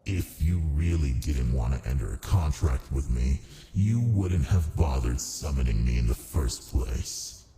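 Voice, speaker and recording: Man voicing a very deep, smug archdemon. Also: lively intonation, a little slow, warm tone, faint music behind. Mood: taunting, persuasive. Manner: smug, condescending, languid. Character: haughty, seductive male archdemon, ancient and massive. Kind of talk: ASMR roleplay acting.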